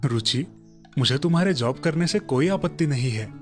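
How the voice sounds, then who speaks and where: A man acts a young, educated husband from a cartoon moral story. He is calm, gentle and supportive in every phrase. Music plays faintly behind him. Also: a little high, moderate pace, lightly acted.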